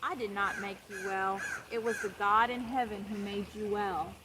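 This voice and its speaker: A woman's voice: mid-range voice, normal speed, close to an everyday voice. Humble, earnest prophet, read by a woman, noisy recording. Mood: calm, earnest. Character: calm, humble male prophet giving instructions. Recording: clear steady background noise.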